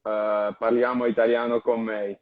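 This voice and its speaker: A man's voice: medium pitch, flat delivery, moderate pace. A man chatting casually and bantering as a guest on a live stream. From grew up French-speaking, lives in New York. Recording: dull, lo-fi live stream audio.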